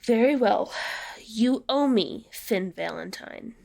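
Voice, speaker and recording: Slow, higher-voiced solemn demigoddess. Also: female voice, lively intonation, gravelly, a little mellow, exaggerated voice. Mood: resigned. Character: grave, reluctant magical tattooist demigoddess. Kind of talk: audio drama acting.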